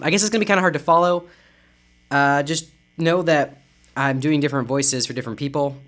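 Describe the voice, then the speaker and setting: A man hosting a comics podcast alone, talking quietly and hesitantly with a self-deprecating tone and many fillers. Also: medium pitch. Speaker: podcast host. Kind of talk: casual podcast intro.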